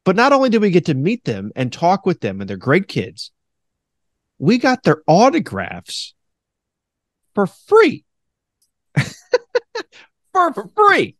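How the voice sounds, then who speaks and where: A man with a deep voice hosting a casual podcast, animated and quick to mock radio announcers in a high voice. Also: dry recording, clean studio sound. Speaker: podcast host; former radio host.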